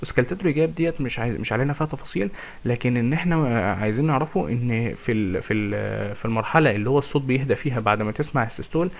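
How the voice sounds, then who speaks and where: A young man with an Egyptian Arabic accent giving a fast exam revision lecture on medicine, with many fillers and restarts, and often asking his listeners questions. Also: medium pitch, vocal fry. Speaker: medical tutor.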